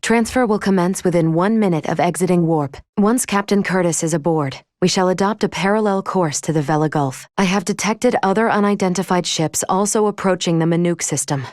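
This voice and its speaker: A woman voicing a composed, logical android starship officer in a video game, speaking formally and precisely with a measured, clinical manner. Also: on the low side, a little mellow, dry recording, studio quality, natural voice. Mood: calm, businesslike. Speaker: ship's operations officer. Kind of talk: scripted video game voice acting.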